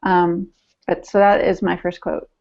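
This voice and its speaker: A woman's voice: fairly low pitch, level tone, a little slow, vocal fry, a little mellow. Woman chatting on a lo-fi podcast. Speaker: podcast co-host discussing writing. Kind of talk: casual personal chat.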